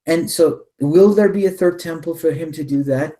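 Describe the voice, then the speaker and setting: A man's voice: a little high, moderate pace, mellow. A man giving an extended explanatory talk as a guest, speaking emphatically, posing rhetorical questions and repeating phrases for weight. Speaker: interview guest, researcher.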